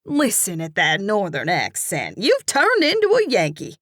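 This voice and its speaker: A woman narrator takes on a gossipy Southern cashier in her middle years, loud and teasing, with a thick Southern US accent. She sits well above the narrator's own fairly low voice. The read is dry and close, with no room around it. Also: very animated, moderate pace, no room sound. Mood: chiding.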